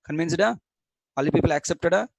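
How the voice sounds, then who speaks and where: A man's voice: fairly high pitch, a little slow, gravelly, no echo, poor audio. Stern Telugu-accented man teaching code. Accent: Indian English, Telugu. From Coastal Andhra, India. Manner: stern, repeats points, quizzes students. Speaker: programming instructor. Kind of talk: online class lecture.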